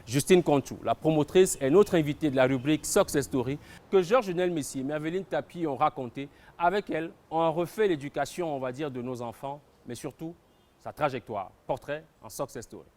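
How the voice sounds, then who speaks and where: A man's voice: fairly high pitch, a little fast, darkish tone. A man presenting a scripted television magazine introduction.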